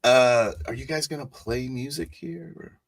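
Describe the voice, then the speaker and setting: A man's voice: medium pitch, normal speed, creaky, voice pushed up. A man playing an aloof, offhand biker with a casual, hesitant manner in a tabletop roleplay.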